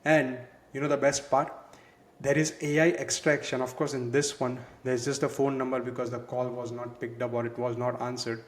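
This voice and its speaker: A man presenting a software walkthrough in a conversational, explanatory way, leaning on filler words. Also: medium pitch, flat delivery, moderate pace. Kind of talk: walkthrough demo narration. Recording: echoey, roomy sound.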